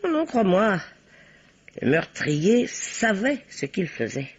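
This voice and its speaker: Give this radio-play voice to a bossy older spinster sleuth. She is husky and swoops dramatically in pitch, emphatic and sure of herself. The recording is dull and dated. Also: big pitch swings, slow pace, exaggerated voice. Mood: assertive. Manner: theatrical, emphatic, authoritative. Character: bossy older spinster playing amateur detective, self-assured. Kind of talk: old French radio play acting.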